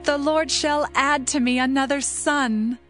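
High-voiced devout biblical mother. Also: steady tone, measured pace, fairly bright tone, faint music behind. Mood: thankful. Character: Rachel, devout new mother.

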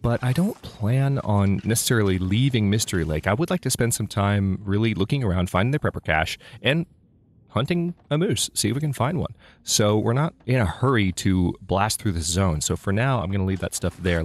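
A man's voice: lowish voice, lively intonation, fairly quick. A man casually narrating his own gameplay as a let's play host, thinking aloud with restarts, sounding slightly congested.

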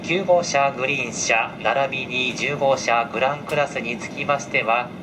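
Polite male train conductor over noisy PA. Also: medium pitch. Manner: very polite, measured, formal phrasing. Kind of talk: formal onboard announcement. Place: inside a train car. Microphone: camera picking up cabin loudspeaker. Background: train ventilation and vehicle noise.

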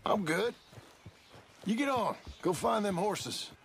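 A man playing a modest, easygoing American cowboy drifter in a western video game, drawling and laconic, gentle with horses. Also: high-pitched, lively intonation, takes it slowly, slight background noise. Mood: laid-back. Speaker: ranch hand.

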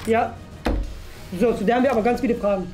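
A woman's voice: fairly high pitch, quick pace. A young woman hosting as she plays a police inspector in a playful improvised interrogation. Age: young adult. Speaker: host playing a police inspector. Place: indoor studio room at a table.

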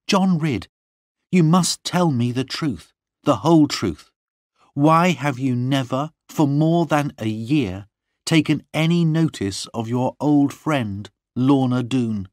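A man's voice: mid-range voice, animated, slow, no room sound, studio quality, pitched above the performer's own voice. A man voicing a loving, earnest young noblewoman in an emotional, pleading manner, as in an audiobook story. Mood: indignant, shocked.

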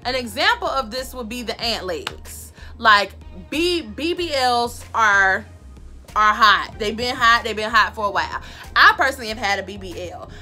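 A sassy woman vlogger, talking straight to the camera from her bedroom. Her voice is loud, bright and a little high, and she hammers her points home by repeating them. Faint music plays underneath. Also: expressive, normal speed. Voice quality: loud voice that carries. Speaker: vlogger giving advice. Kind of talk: casual advice talk to camera. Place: bedroom, indoors.

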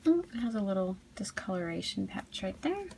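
A woman giving a casual unboxing review as a video host, cooing softly over cute things. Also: medium pitch, sing-song, a little fast, vocal fry, slight background noise. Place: indoors at a tabletop.

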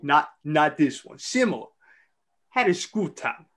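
A man's voice: a little high, big pitch swings, unhurried, a bit bright. A man in an amateur script reading, playing a playful young storyteller spinning a tall tale.

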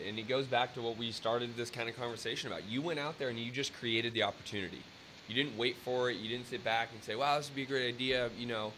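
A man in his thirties hosting an interview over webcam, talking in a casual, energetic pep-talk style with swearing. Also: medium pitch. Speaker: interview host. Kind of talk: casual podcast chat. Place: indoor room with brick wall. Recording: faint hiss on video call audio.

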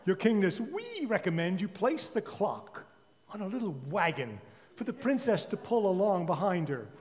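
An old royal advisor from a storybook, played by a man, solemn and officious. He declaims his counsel with big pitch swings in a ringing church hall. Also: big pitch swings, normal speed, darkish tone.

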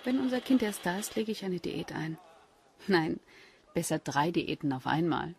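A woman's voice: fairly low pitch, expressive, normal speed, vocal fry, slight background noise. An actress playing a playful, eager expectant mother in natural radio drama dialogue. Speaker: actress in a crime radio play.

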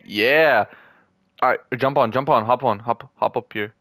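A man's voice: medium pitch, a little slow, a bit bright, no room sound. A young man in casual gaming chat with a friend, joking and trash-talking and often repeating his words. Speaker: gamer.

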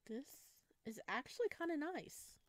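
A woman's voice: sits high, lively intonation, unhurried, no room sound, voice pushed up. A woman voicing a shy, awkward wanderer in a visual novel, with a hesitant, nervous manner. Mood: embarrassed. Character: shy, awkward, easily awed lost wanderer.

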